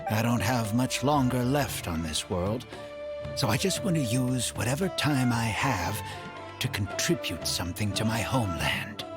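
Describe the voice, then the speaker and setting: An actor in a video game playing an earnest, proud man of the desert, speaking in formal, measured phrases. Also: medium pitch, lively intonation, loud music behind the voice, lightly acted. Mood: solemn, earnest. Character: earnest, proud desert-dwelling man, elderly or ailing, apologetic.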